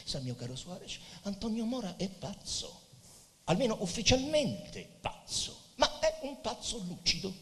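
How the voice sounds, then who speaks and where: Pensive, confiding poet, swooping expressively. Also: male voice, high-pitched. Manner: theatrical, hushed confiding, dropping into whispers and long pauses. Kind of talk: literary stage dialogue with narrated passages. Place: theatre stage or hall with live music. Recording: reverberant hall, music behind the voice.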